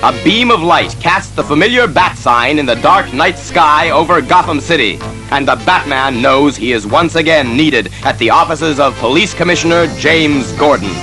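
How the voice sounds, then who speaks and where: A man narrating a scripted adventure drama with portentous, measured phrasing. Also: a little high, animated. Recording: echoey reverb, music behind the voice.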